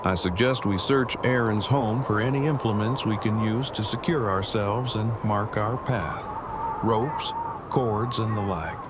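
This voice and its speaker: An actor playing an earnest, wordy survivor who speaks in stiff, over-precise formal language in an audio drama. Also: male voice, on the low side, measured pace, close to an everyday voice. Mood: matter-of-fact. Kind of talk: fan audio drama acting. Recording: loud outdoor storm noise.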